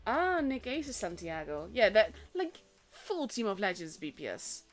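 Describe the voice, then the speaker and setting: A woman's voice: medium pitch, big pitch swings, moderate pace. A young woman with a Dutch accent, a native Dutch speaker, chatting casually while commentating a tournament on her live stream, rambling with fillers and restarts. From Netherlands. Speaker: esports caster and streamer. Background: faint stream music.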